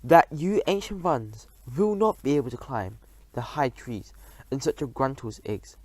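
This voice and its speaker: A man's voice: mid-range voice, animated, measured pace, a little mellow, no room sound. A man reading a storybook aloud, voicing a cruel, commanding female witch leader who barks and screeches. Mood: scornful. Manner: theatrical, barking and screeching.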